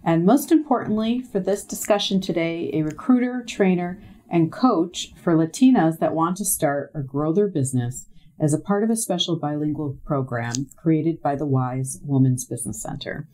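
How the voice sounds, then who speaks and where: A woman's voice: sits low, moderate pace, warm tone, low-quality recording. A woman hosting a business interview in a conversational style. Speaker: interview host, business news executive.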